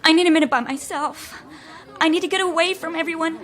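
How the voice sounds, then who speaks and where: A high-pitched, fretful young female tourist frets melodramatically in a horror comedy drama. She is mortified and self-dramatising. There is faint noise behind the voice. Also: fast, fairly bright tone. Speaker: tourist on a coach. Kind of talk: scripted audio horror comedy acting.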